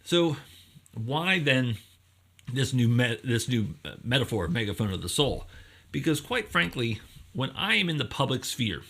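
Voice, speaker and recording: A man's voice: medium pitch, sing-song, normal speed, creaky, fairly bright tone. A man giving an informal explanatory lecture as a professor, conversational and thinking aloud, posing rhetorical questions as he goes.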